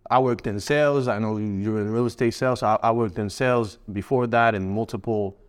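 A man in his thirties from Morocco, a guest trader answering in a relaxed, rambling way. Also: on the low side, a little fast, clear, resonant tone. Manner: relaxed, rambling, frequent fillers and restarts. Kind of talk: casual interview answers.

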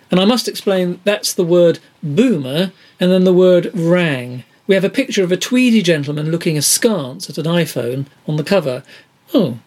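A man's voice: high-pitched, darkish tone. An older man interviewing in a scripted comedy dialogue, with a prim, pedantic manner.

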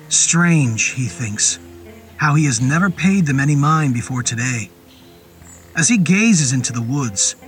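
A man narrating a scripted horror story in a measured, descriptive storytelling manner. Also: mid-range voice, fairly bright tone. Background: music under the voice.

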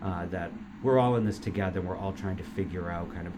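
A middle-aged man, a dean, answering interview questions in an earnest, measured way with filler words. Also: deep voice. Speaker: engineering school dean. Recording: noisy room recording.

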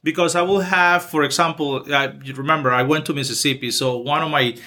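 Bright-voiced Honduran man, halting upbeat. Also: a little high, steady tone. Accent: Honduran Spanish. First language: Spanish. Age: 40s. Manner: hesitant, many fillers and restarts, upbeat. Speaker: music professor, interview guest. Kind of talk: casual interview.

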